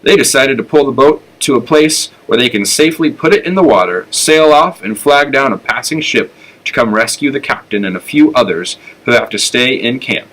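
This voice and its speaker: A man's voice: mid-range voice, animated, moderate pace, fairly bright tone, rough recording. Man playing a terse, restless sled dog. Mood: matter-of-fact.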